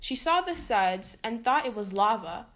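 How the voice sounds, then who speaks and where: Lady reading a kids story out loud, highish voice, really animated, does the dialogue. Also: unhurried. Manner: expressive, acts out the dialogue. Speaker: storybook reader. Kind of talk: reading a children's story aloud.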